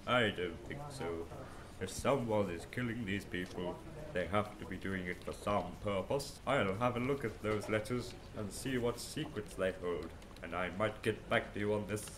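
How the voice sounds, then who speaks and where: A man's voice: fairly low pitch, normal speed, a crowd in the background, natural voice. A man playing an arrogant, coolly analytical male detective in an amateur audio drama, speaking in a superior, matter-of-fact way.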